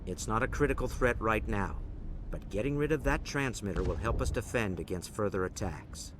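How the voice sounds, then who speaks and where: Low-voiced, earnest colony leader played by a man. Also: lively intonation, moderate pace, noisy background, lightly acted. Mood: weary, earnest. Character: earnest, embattled male leader of a frontier colony. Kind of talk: video game dialogue acting.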